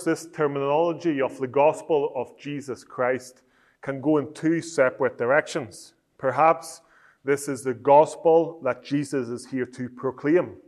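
A man from Northern Ireland with a Northern Irish accent, giving a measured, explanatory teaching talk on preaching. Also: mid-range voice. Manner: measured, explanatory, with frequent restarts.

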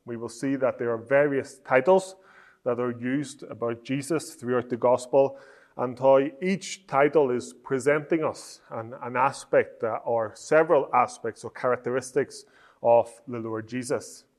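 Man, Northern Irish accent, preacher, teaching talk, measured, explanatory, frequent restarts. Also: mid-range voice, steady tone. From Northern Ireland.

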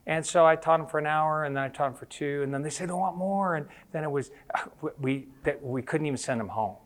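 A man's voice: a little high, brisk, natural voice. A man voicing a weary male missionary who talks in a rambling, apologetic way. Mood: tired, apologetic.

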